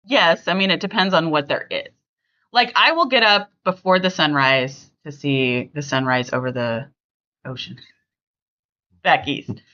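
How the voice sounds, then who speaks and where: A woman's voice: low-pitched, a little slow, bright, forceful tone, lo-fi sound. A middle-aged woman hosting a podcast, chatting casually and emphatically with family. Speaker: podcast host.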